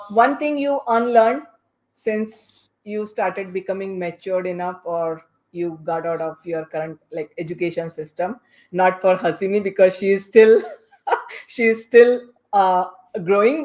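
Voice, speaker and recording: Brisk woman moderating a panel. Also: mid-range voice, darkish tone. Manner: brisk, hurrying, interjecting. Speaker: podcast host and moderator. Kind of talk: moderating a panel discussion, prompting for short answers.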